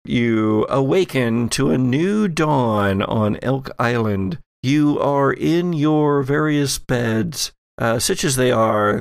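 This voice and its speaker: A man acts as game master for a horror tabletop game. His delivery is unhurried and theatrical, with a creaky grain. The sound is dry and close. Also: medium pitch, dry recording. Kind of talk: narrating an actual-play horror tabletop game.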